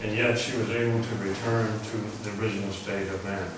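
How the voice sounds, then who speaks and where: Soft-spoken man lecturing in echoey hall. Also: on the low side, level tone, brisk, noisy background. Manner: soft-spoken, rambling, with frequent asides and throat-clearing. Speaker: religious speaker. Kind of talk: informal lecture to an audience. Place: meeting hall before a live audience. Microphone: microphone through a speaker system. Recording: echoey hall, heard from far off.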